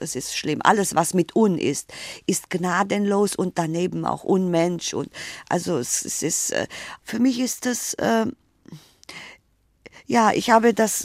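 A woman's voice: fairly low pitch, vocal fry, dry recording, studio quality. A middle-aged woman from Romania with a Romanian-German accent and a rolled R, answering interview questions in a hesitant, thoughtful way with many fillers. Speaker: interview guest, writer.

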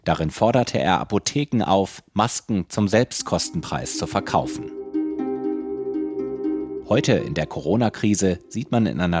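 A man delivering a scripted radio report as a correspondent, even and factual. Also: low voice.

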